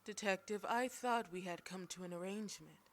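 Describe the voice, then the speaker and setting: A woman's voice: mid-range voice, brisk, fairly bright tone, lightly acted. A woman playing a smug female mafia boss. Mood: low, menacing. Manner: smug, teasing, commanding. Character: cocky, flirtatious female mafia boss, ruthless planner. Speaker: audio roleplay performer. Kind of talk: scripted audio roleplay.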